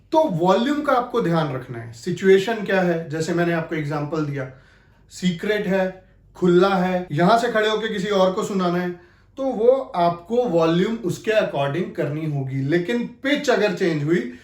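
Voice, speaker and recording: A man's voice: sits high, roomy sound. A man giving an instructional talk as an acting coach, explaining emphatically. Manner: explanatory, emphatic, repeats key phrases to demonstrate.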